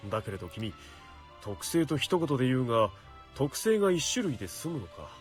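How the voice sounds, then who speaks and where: Stern young knight, animated and formal man acting. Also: mid-range voice, very fast, faint music behind, close to an everyday voice. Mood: pompous lecturing. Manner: formal, archaic phrasing, muttering to himself. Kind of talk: Japanese visual novel voice acting.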